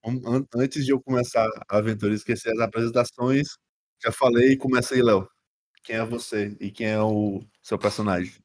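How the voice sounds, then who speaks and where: A man's voice: mid-range voice, steady tone, fairly quick, a little mellow. A man with a Brazilian accent bantering casually as game master and host of a podcast.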